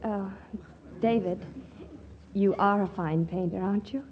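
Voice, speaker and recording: An actress playing a feisty, romantic, quick-witted young woman in a 1940s radio comedy, with theatrical hesitations. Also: medium pitch, lo-fi sound, a little hiss or hum, lightly acted. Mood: tender, yearning. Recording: vintage 1940s radio recording, faint music.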